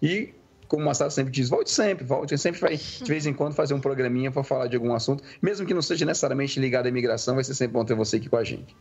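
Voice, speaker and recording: A man's voice: medium pitch, rapid-fire, a little mellow, breathy edge, lo-fi sound. A man chatting casually as a co-host on a podcast. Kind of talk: casual chat.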